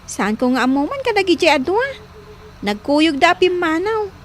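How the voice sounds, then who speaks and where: High, chatty young villager. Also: female voice, sing-song, normal speed, a little hiss or hum. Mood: helpful, gossipy. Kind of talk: Filipino radio drama acting.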